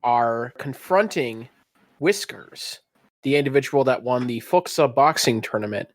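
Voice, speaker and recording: A man's voice: mid-range voice, lively intonation, a little slow, no echo. A man running a tabletop role-playing game, narrating in a theatrical, descriptive storytelling manner. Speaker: game master.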